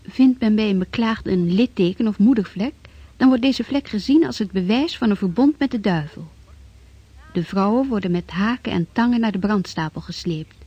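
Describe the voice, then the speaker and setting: A woman playing a grave, detached chronicler, narrating a scripted history in a radio drama with a husky voice. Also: mid-range voice, moderate pace, dark tone, no echo, muffled recording, natural voice.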